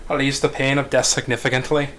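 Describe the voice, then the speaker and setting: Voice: mid-range voice, level tone, normal speed, a bit bright, close to an everyday voice. A performer at a table reading playing a menacing, foul-mouthed male gangster boss. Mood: threatening.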